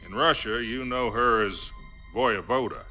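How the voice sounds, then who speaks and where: An actor playing a sober, formal American president in a cinematic video game, measured and diplomatic. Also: male voice, medium pitch, sharp tone, faint music behind. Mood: sober, regretful.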